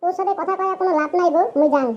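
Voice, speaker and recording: Squeaky high voice, rapid, lazy grumbling cartoon son, rural northern Bengali accent, whiny, coarse. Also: steady tone, highs cut off, a little hiss or hum. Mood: sulky. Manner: whining, griping, coarse village banter. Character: lazy, grumbling young village layabout, henpecked son, cartoon. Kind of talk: comic cartoon dialogue.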